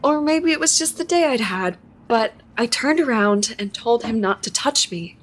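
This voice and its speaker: A woman's voice: on the high side, lively intonation, normal speed. An actress playing a shaken, wondering woman who recounts her strange experience in a confiding, conversational monologue for a live audio drama. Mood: tense. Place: live on stage in a theater.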